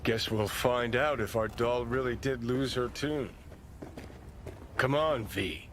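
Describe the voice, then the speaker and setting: A man's voice: medium pitch, slow pace, a bit bright. An actor voicing a cynical, sarcastic, foul-mouthed man in a video game, with noise behind the voice. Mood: sardonic. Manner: brash, sardonic.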